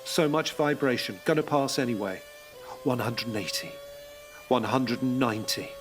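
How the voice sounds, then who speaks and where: A veteran racing driver. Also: male voice, mid-range voice, wildly swooping pitch, moderate pace, slight background noise. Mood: focused. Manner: terse, clipped inner monologue. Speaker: narrator and lead character. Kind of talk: audio drama narration. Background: dramatic music.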